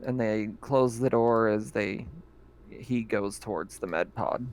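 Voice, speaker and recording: A man running a tabletop horror game as game master, narrating casually with plenty of fillers. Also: fairly low pitch. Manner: casual, with fillers and quick reactions.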